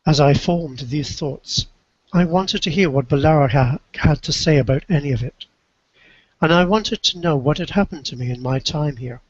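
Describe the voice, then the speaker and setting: A man narrates a literary tale in the first person. His voice is husky and a little high for a man, with a measured, reflective delivery. The recording is dry and somewhat muffled. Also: no room sound. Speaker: co-reader of a book.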